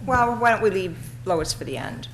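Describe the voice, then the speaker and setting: A woman with a raspy voice chairing a city commission meeting in an informal, chatty way. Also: mid-range voice, expressive, moderate pace, a little hiss or hum. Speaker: presiding commissioner.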